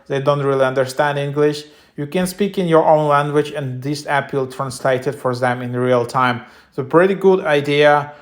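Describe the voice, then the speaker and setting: Flat-toned man casually walking through an app. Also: medium pitch. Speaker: app review vlogger. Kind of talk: casual app walkthrough, partly reading descriptions aloud.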